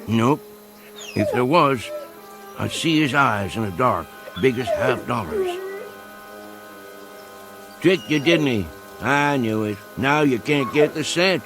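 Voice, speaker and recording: Old country hunter, rural American, higher-pitched, folksy radio drama delivery over music. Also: male voice. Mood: gently chiding. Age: older. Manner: rambling, folksy, talks to his dog. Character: folksy old backwoods hunter, fond of his hound.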